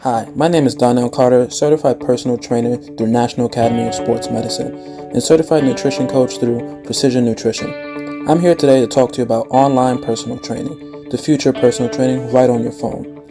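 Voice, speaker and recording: A man's voice: mid-range voice, fairly even intonation, fairly quick, music in the background. A man who works as a personal trainer, giving a scripted promotional talk.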